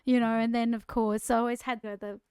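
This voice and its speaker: High, smooth-voiced Australian woman. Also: flat delivery, a little fast, darkish tone, dry recording, clean studio sound. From Queensland, Australia. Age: 40s. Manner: chatty, self-deprecating storyteller. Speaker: podcast co-host. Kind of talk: casual conversational podcast chat.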